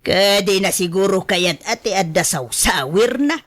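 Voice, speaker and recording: Slow-talking scheming mother. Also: medium pitch, lively intonation. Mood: scheming, amused. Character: scheming, money-minded, indulgent older mother. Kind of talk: Ilocano radio drama acting.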